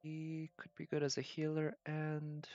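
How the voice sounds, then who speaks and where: A woman giving casual livestream game commentary, thinking aloud in halting fragments as she plays. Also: deep voice, monotone, mellow, no room sound, dull audio. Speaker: game streamer.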